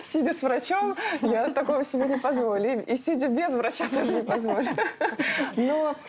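A woman's voice: high voice, moderate pace, over a noisy crowd. Woman hosting a conversational TV talk show. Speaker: television talk show host. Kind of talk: live studio presenting, conversational. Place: TV studio.

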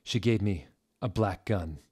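A man in his thirties giving a deliberate, theatrical reading of fan fiction, close to a desk microphone. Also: fairly low pitch, a little mellow, breathy edge. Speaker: reader, show host. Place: small furnished room indoors.

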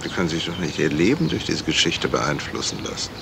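An actor playing a shrewd, courteous gentleman detective in a 1970s radio crime drama, speaking deliberately and probingly. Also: extremely low pitch, expressive, creaky, full character voice. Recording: noisy vintage radio play recording.

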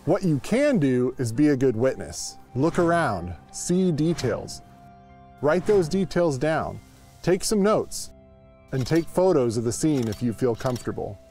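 A man's voice: mid-range voice, sing-song, measured pace, warm tone. A man in his thirties with an American accent, giving scripted instructional narration as a conservation officer. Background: music.

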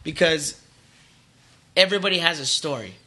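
Male podcast host, casual rambling talk, bright forceful voice, slightly higher pitch. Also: animated, moderate pace.

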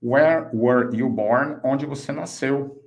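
A man in his fifties with a Brazilian accent, a native Portuguese speaker, teaching an online English lesson and slowly enunciating example phrases. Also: mid-range voice, creaky. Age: 50s. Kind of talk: online language lesson, explaining and modelling phrases.

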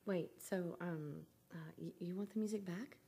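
A woman's voice: fairly low pitch, normal speed, mellow, lightly acted. A woman playing a scatterbrained, mischievous studio musician in scripted radio comedy banter. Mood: innocent, confused. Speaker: show's musician.

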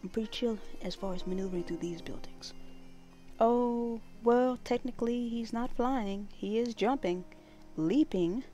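A woman's voice: a little high, a little slow, mellow, faint music behind. A woman livestreaming a game with casual, playful, chatty live commentary. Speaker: gaming livestreamer.

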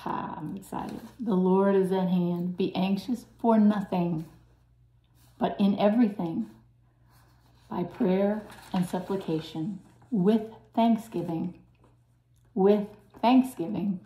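A woman with a raspy voice preaching to her viewers in an earnest, urgent way, often dropping to a soft, breathy hush. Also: medium pitch, fairly even intonation, slow, echoey room, rough recording. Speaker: channel host preaching.

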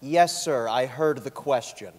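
A man's voice: medium pitch, unhurried, a little mellow, a little hiss or hum, close to an everyday voice. A young man playing an evasive, stubborn school official on the witness stand in a stage reading, answering curtly. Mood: defensive, flustered. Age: young adult. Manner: curt, stubborn denials. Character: defensive, evasive male school board president on the witness stand. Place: large theatre stage, indoors. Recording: echoey theatre hall.

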